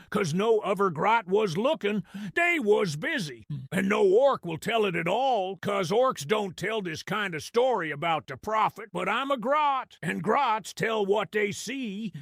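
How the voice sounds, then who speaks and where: A man's voice: high voice, creaky, fairly bright tone, no echo, studio quality, heavily acted. A man voicing a small, sly goblin storyteller, narrating an audio drama in slangy, dramatic fashion. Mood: confiding, proud. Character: small scrappy male grot goblin storyteller, sly, awed, gossipy.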